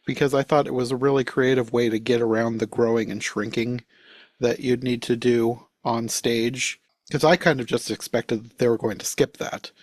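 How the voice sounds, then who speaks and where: Guy chatting on a review podcast, mid pitch, close dry sound. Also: level tone, normal speed, dry recording. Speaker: podcast co-host reviewing a show. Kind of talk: casual chat, review.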